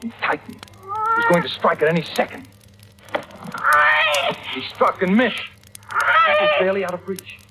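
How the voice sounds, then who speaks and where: A man's voice: high voice, huge pitch swings, normal speed, long pauses, heavy background noise. An actor playing a guilt-ridden young seaman narrating his own terror in an intense, haunted old radio drama style. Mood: frantic suspense. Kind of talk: 1940s-50s radio drama acting, first-person suspense narration. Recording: vintage radio recording with loud music.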